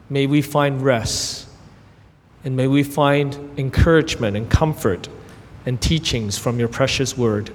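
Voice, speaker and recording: A young adult man raised in Canada, giving a sermon as guest preacher, earnest and gentle, telling stories with brief pauses. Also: on the high side, big-room sound. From Hong Kong, raised in Canada. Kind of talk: sermon with prayer and illustrations.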